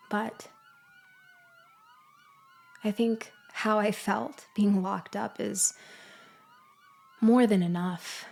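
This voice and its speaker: A woman playing a betrayed, vengeful ex-girlfriend in an audio roleplay monologue, taunting with deliberate, condescending delivery. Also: medium pitch, gravelly. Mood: quietly menacing. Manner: slow, deliberate, condescending, with sighs and pauses. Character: betrayed, vengeful ex-girlfriend confronting her framer, coolly taunting. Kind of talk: girlfriend ASMR-style dramatic roleplay monologue.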